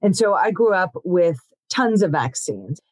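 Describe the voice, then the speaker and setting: A woman physician, born in Ethiopia and raised in Africa, explaining vaccines in an interview in a steady, explanatory way with frequent "you know". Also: fairly low pitch, a little slow, dry recording, low-quality recording.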